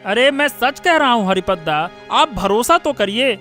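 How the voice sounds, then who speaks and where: A man's voice: extremely high pitch, lively intonation, moderate pace, bright, forceful tone, soft background music, heavily acted. A man voicing a defensive, boastful young village errand man in a cartoon story. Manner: protesting.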